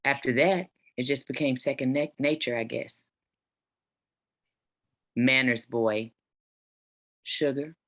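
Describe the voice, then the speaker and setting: An elderly grandmother with an extremely low voice, played by a woman in a table read. She is a courteous hostess with a sinister edge, bossy and slow in her commands. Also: a bit bright, heavily acted. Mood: bossy, firm. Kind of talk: virtual table read acting.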